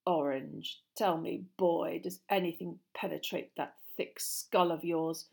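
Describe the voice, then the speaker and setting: A woman voicing a cold, bullying male potions teacher in an audiobook, with a slow, scornful, drawn-out delivery. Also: fairly low pitch, fairly even intonation, creaky, breathy edge, heavily acted.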